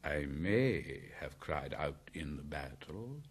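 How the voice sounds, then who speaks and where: A man voicing a proud, weary male panther with a dry, measured manner and archaic storybook speech. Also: rumbling low, sing-song, husky, voice pushed down, exaggerated voice.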